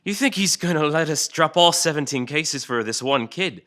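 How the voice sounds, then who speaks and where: A man's voice: fairly high pitch, animated, moderate pace, fairly bright tone. A man playing a jaded, callous veteran police detective at a drama table read, blunt and sarcastic. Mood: sardonic.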